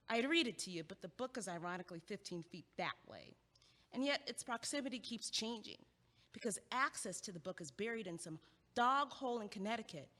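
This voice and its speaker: Woman acting as quirky female student, young, highish bright voice, argumentative, sardonic, audio-play delivery. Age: young adult. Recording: long echoing hallway reverb.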